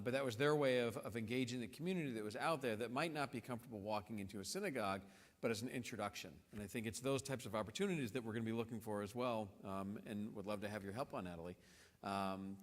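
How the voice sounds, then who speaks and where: A man, a rabbi, explaining things to the congregation in a relaxed conversational way, with fillers and restarts. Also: fairly low pitch, quick pace. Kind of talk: casual panel conversation. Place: large synagogue hall. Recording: long hall reverb.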